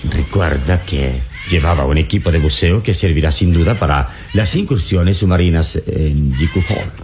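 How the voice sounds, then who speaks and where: Very deep, solemn adventure hero. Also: male voice, wildly swooping pitch, darkish tone, a little hiss or hum, full character voice. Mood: grave concern. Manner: solemn, measured, theatrical. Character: calm, noble adult male adventure hero, grave and assured. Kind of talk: old radio serial acting.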